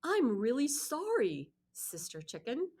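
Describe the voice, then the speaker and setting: A woman's voice: sits high, wildly swooping pitch, a little slow, darkish tone, exaggerated voice. A woman voicing a hungry but gullible mother crocodile in a children's storybook, with emphatic, dramatic delivery. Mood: gushing, sweet. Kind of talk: acted children's storybook read-aloud.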